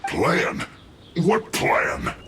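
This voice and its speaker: A man's voice: mid-range voice, wildly swooping pitch, drawn-out words, sharp tone, reverberant, a little hiss or hum, full character voice. Blustering, swooping game henchman. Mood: defensive. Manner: indignant, theatrical. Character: aggrieved, blustering male henchman soldier. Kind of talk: video game voice acting.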